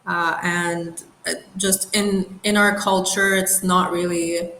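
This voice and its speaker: A young woman from the Middle East, an aerospace engineer, telling her story in a thoughtful, unhurried way with light laughs. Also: fairly low pitch, little pitch movement, sharp tone. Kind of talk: reflective interview storytelling. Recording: roomy with faint background noise.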